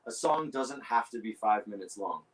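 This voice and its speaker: A man with a low voice, a guest musician chatting casually and animatedly, full of "you know" and "right". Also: lively intonation, normal speed, a crowd in the background, rough recording. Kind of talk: casual chat.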